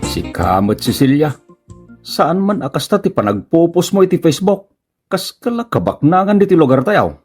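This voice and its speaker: A woman's voice: deep voice, very animated, normal speed, darkish tone, slightly rough, soft background music, full character voice. A Filipino woman with an Ilocano accent playing a nagging, exasperated village neighbor in a comedy radio drama. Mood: sarcastic, nagging. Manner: scolding, exclaiming.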